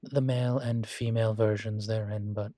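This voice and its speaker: A man acting as a composed, courteous college professor in an intimate audio roleplay, speaking with measured, formal politeness and dry wit. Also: lowish voice, steady tone, warm tone, dry recording, lightly acted. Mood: wry, reassuring. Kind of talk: intimate scripted one-sided roleplay dialogue.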